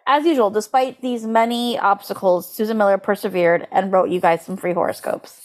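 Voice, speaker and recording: A woman's voice: medium pitch, moderate pace. Casual, incredulous woman podcast co-host. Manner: informal, incredulous, frequent "like". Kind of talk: casual comedic chat with dramatic reading aloud.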